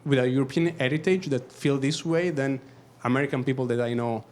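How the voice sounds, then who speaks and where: A man with an Italian accent, Italian his first language, speaking up from the audience at a talk, halting and searching for words. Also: medium pitch, a little mellow. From Italy. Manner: halting, searching for words, then quick bursts. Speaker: audience member, documentary photographer. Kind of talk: question-and-answer at a talk.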